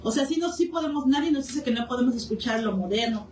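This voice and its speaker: A woman's voice: on the high side, animated, fast talker, darkish tone. A woman with a Mexican accent giving a spontaneous personal account as a participant in a community discussion. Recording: echoey room, distant mic, faint noise.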